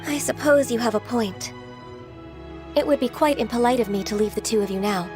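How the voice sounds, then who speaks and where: A woman voicing a gentle, reserved young immortal in a video game, speaking in a soft, hesitant and formal way. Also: on the high side, fairly quick, over music, close to an everyday voice. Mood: wistful.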